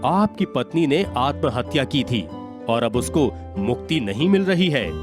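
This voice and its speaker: Village exorcist sage for a cartoon tale, man's voice: fatherly, counselling, expressive pitch swings, music behind. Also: measured pace, close to an everyday voice. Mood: advising. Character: calm, fatherly village holy man, exorcist. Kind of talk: Hindi animated moral-story dialogue.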